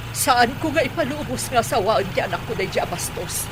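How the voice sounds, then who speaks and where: A woman's voice: on the high side, expressive, brisk, noisy background, natural voice. A woman playing an older mother, protective and emotional, pleading and grateful in a radio drama. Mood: distressed, pleading. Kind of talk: Ilocano radio drama acting.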